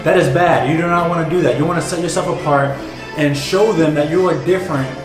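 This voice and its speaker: A young man with a stuffy, cold-blocked voice, pitched a little high, teaches at a whiteboard in a bright office. His delivery is direct and energetic, and he keeps checking in with the viewer. The room echoes, and music plays clearly behind him. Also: fairly quick. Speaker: business coach. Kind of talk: whiteboard tutorial.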